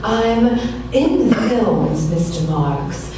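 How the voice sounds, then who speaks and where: Slow, theatrical woman playing a glamorous film star. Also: lowish voice, animated. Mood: haughty, poised. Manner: theatrical, affected. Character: haughty, scandal-fearing glamorous film actress, female client. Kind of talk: noir radio comedy acting. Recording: very echoey room, distant mic, clear noise.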